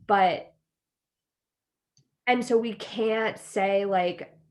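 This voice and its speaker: A woman's voice: medium pitch, very slow. A young American woman co-hosting a casual podcast chat, thinking aloud tentatively and peppering her talk with "like". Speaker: podcast co-host. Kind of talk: casual chat, discussing reality TV.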